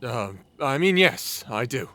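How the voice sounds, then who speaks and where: An actor playing a nervous, courteous young man held captive, in a dark comedy audio drama. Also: mid-range voice, very animated, measured pace, no echo. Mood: submissive. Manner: stammering when cowed, courteous. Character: nervous, polite young male human captive.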